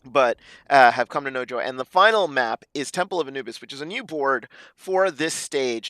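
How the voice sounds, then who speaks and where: Male esports caster, mildly hoarse, otherwise smooth voice, dry sound, stop-start delivery with uhs. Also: medium pitch, pushed and bright, no echo. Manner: halting, many fillers. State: slightly raspy voice. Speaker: esports commentator. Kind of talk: esports match preview commentary.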